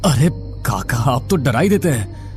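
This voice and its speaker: A man's voice: mid-range voice, sing-song, a little slow, a little mellow, faint music behind, voice pushed up. A man playing an uneasy, sceptical young traveller, earnest and hesitant, in a horror audio story. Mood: startled.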